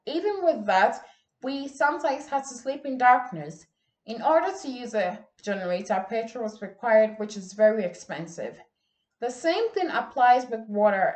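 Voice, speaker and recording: A teenage girl from Ghana, whose first language is Ga, gives an earnest and measured school speech in a plain indoor room, recorded by a camera set some distance away. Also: fairly high pitch. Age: teens. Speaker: student speech contestant. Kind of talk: memorized bilingual speech. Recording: echoey room.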